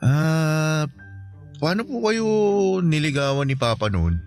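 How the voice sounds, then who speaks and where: Boyish, eager Filipino college son. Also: male voice, on the high side, big pitch swings, slow, soft background music. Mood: shy, curious. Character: cheerful, playful college-age son, affectionate and respectful. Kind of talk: Tagalog radio drama acting.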